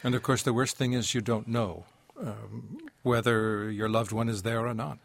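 A man hosting an interview in a reflective, thoughtful and measured way. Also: fairly low pitch, fairly even intonation, creaky. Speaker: interviewer, host.

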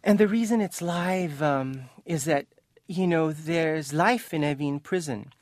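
A man's voice: on the high side, measured pace, dry recording, studio quality. A man from Iran speaking as an author and interview guest, thoughtful, earnest and impassioned, sometimes reading from his book.

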